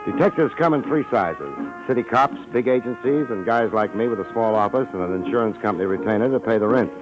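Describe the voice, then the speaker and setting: A man's voice: fairly low pitch, animated, fast talker, lo-fi sound, natural voice. An actor playing a wry, easygoing American private detective in a 1950s radio drama, wisecracking and colloquial as he talks and narrates. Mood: wry narration. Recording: old radio broadcast recording with music.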